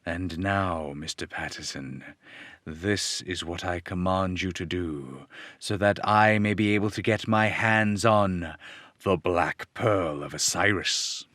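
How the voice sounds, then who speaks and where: A man playing a menacing, commanding hypnotist villain in an old-time radio serial, speaking in measured, deliberate orders. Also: deep voice, fairly bright tone, no room sound, voice pushed down. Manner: slow, commanding, measured delivery. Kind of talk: 1930s radio serial acting.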